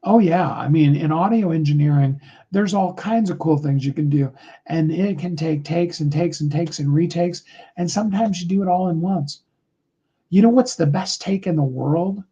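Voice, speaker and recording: A middle-aged man with a high tenor voice, giving a rambling, earnest motivational talk on a live call, full of fillers. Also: moderate pace, warm tone, slightly rough, highs cut off.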